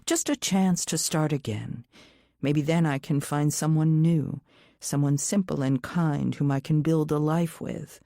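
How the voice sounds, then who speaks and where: Low, mellow woman narrating an audiobook. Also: a little slow, gravelly, no echo, studio quality. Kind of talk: scripted first-person thriller narration.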